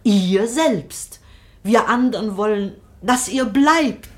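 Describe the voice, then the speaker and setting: A woman's voice: a little high, lively intonation, takes it slowly. An actress playing a patient, tender woman who soothes and prompts an actor in a German radio drama, speaking without hurry. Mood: prompting.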